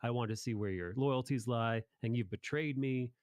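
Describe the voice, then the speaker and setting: A man's voice: mid-range voice, normal speed, darkish tone, dry recording, clean studio sound. A man hosting a casual chat about anime, talking informally in rambling recaps with some swearing. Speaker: podcast host.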